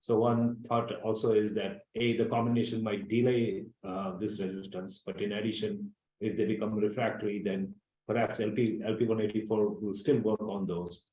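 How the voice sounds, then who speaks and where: A man's voice: fairly low pitch, level tone, normal speed, mellow, echoey room, low-quality recording. A man, a scientific executive, giving a careful technical explanation on an earnings call with uhs.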